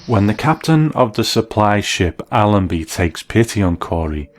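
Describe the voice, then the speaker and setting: A man's voice: lowish voice, big pitch swings, normal speed. A man hosting a documentary, explaining things in a mix of conversation and narration. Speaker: host presenting a documentary. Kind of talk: interview chat and explanatory narration.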